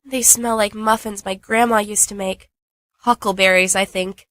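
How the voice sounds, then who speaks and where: A woman playing a shy, anxious and earnest young lover in a modern radio drama, hesitant yet expressive. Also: mid-range voice, normal speed, no room sound, clean studio sound, lightly acted. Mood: excited, tempted. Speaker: radio play actor.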